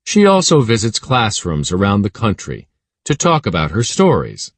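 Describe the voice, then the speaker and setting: Male read-aloud narrator for kids, low-pitched, highly varied intonation, dry close sound. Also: huge pitch swings, normal speed, no echo. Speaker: audiobook narrator for children. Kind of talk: scripted read-aloud storytelling.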